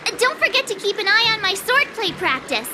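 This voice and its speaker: A woman's voice: very high voice, sing-song, brisk, background noise. A woman voicing a bubbly, eager teenage girl sword apprentice in a video game, with a perky, earnest, theatrical delivery. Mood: chatty, curious.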